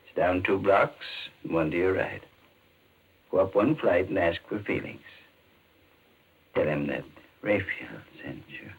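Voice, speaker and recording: An actor playing a suave, menacing male chess schemer in a 1940s radio mystery, speaking in a measured, insinuating way. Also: deep voice, animated, creaky, breathy edge, narrow, tinny audio, heavily acted. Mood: suavely mysterious. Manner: measured, insinuating, politely sinister.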